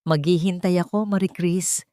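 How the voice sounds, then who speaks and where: A woman voicing a repentant man and devoted father in an audio story, soft, earnest and humble. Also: lowish voice, fairly even intonation, normal speed, dry recording, clean studio sound, natural voice. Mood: earnest pleading.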